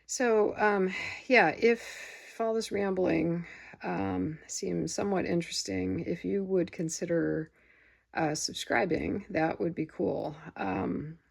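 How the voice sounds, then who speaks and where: Tired, gravelly-voiced woman. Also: on the low side, takes it slowly. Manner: rambling, many fillers and restarts. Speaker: vinyl record vlogger. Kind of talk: casual rambling haul video. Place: home room with window open. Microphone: phone camera.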